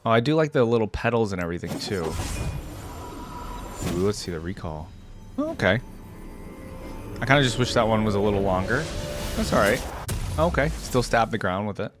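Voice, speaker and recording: A man's voice: on the low side, lively intonation, fairly quick, pauses often, music in the background. A man hosting a casual reaction commentary, speaking informally and musing aloud. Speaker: reaction video host.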